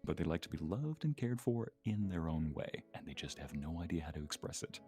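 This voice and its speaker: A man's voice: low-pitched, lively intonation, a little fast, dark tone, faint music behind. A man chatting casually as a guest on an ASMR livestream, playfully acting out little imagined quotes.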